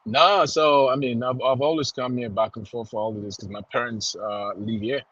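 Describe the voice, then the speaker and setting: Husky Nigerian man, slightly muffled audio. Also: mid-range voice, steady tone, moderate pace, fairly bright tone, no room sound. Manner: informal, repeats "you know" and "man". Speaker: soccer club founder, podcast guest. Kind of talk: casual interview chat.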